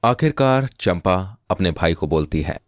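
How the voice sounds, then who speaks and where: A man narrating a scripted comic moral tale as an expressive storyteller. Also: sits low, measured pace, dry recording, studio quality.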